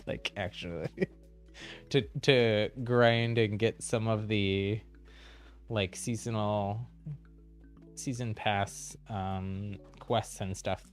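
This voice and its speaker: Low-pitched man chatting through a game stream. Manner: chatty, hesitant, thinking aloud. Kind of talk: casual live gameplay commentary.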